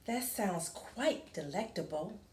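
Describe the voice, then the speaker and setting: A woman's voice: medium pitch, expressive, slow, recorded from a distance, slight background noise. A woman voicing a polite, hungry male hot dog vendor in a picture book, savoring the words appreciatively. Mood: eager, appreciative. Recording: roomy, echoing room sound.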